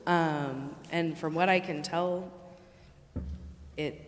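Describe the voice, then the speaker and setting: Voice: mid-range voice, fairly even intonation, slow pace. A city staff member answering questions at a public meeting, hesitant and apologetic, in an echoey meeting hall. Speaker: staff member presenting bids to a city council. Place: council chamber, indoors.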